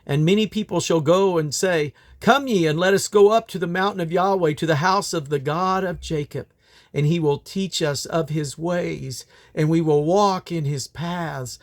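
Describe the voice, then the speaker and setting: A man's voice: on the high side, moderate pace. A man reading Bible scripture aloud in old King James English, in a solemn, declamatory manner. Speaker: Bible reader.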